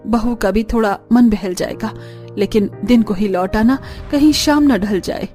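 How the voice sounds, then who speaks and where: A woman's voice: medium pitch, a little slow, mellow, faint music behind, close to an everyday voice. A woman voicing a caring, anxious middle-aged mother-in-law in a cartoon story, with a motherly, fussing manner. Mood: indulgent. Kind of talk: Hindi cartoon story dialogue.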